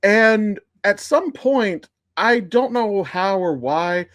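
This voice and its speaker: A man's voice: high voice, lively intonation, takes it slowly, a bit bright, no echo, studio quality. A man chatting casually as a podcast guest or co-host, telling a story in a rambling, digressive way. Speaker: podcast co-host and amateur voice actor. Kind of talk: casual chat.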